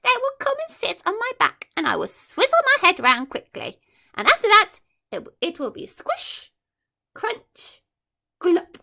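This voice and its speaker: A greedy male crocodile from a children's picture book, all schemes and gloating, with a touch of grit in the voice. A woman puts him on while reading aloud. The delivery swings wide and lively. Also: extremely high pitch, unhurried, vocal fry, pitched above the performer's own voice, full character voice. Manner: scheming, gleeful, turns to frantic yelling. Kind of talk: storybook read-aloud voice.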